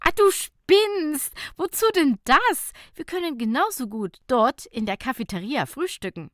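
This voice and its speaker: A woman's voice: sits high, very animated, a little slow, no echo, clean studio sound, voice pushed up. A woman voicing a cheerful, impatient, playful female friend in an audiobook, exclaiming and teasing.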